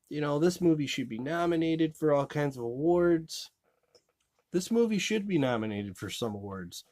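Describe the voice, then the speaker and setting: A man's voice: mid-range voice, darkish tone, dry recording. Rambling man reviewing a movie, dry sound. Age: adult. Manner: rambling, many fillers and restarts. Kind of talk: casual spoken review.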